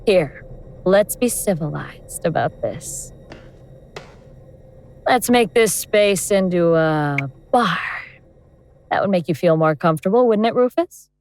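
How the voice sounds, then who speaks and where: A woman playing a calm, menacing female elder god in a horror audio drama, composed, commanding and coolly mocking. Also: on the low side, takes it slowly, long pauses, slight background noise. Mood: civil, mocking.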